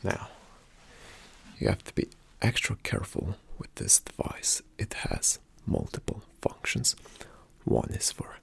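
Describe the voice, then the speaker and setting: Male ASMR performer as a calm, attentive spy agent; lowish, hushed, breathy whisper, unhurried instructional delivery. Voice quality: soft, breathy whisper with some creak. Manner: slow, hushed, deliberate instruction.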